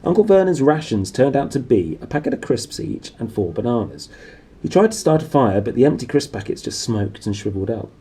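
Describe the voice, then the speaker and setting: Animated, husky male storyteller. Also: mid-range voice, a little fast. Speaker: storybook reader. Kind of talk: animated scripted narration of a children's novel.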